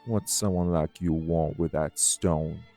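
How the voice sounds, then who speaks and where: A man playing a bitter, guilt-worn vampire sire in an audio drama, with a gravelly voice and a deliberate, emphatic, accusing delivery. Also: a bass voice, fairly even intonation, dark tone, soft background music, exaggerated voice. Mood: cold, defiant. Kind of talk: dramatic audio roleplay acting.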